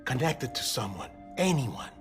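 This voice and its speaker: Lonely obsessive colleague, secretive, wry, slow measured speech, game cutscene, music behind. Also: male voice, mid-range voice, very animated. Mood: wistful, confiding. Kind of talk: cinematic video game acting.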